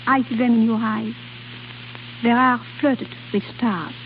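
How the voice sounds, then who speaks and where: A woman's voice: a little high, fairly even intonation, a little slow. An actress playing a tender, romantic young Frenchwoman with a French accent in an old radio drama. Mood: tender, wistful. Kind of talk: 1940s-50s radio drama acting. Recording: scratchy old radio broadcast, tinny, hissy.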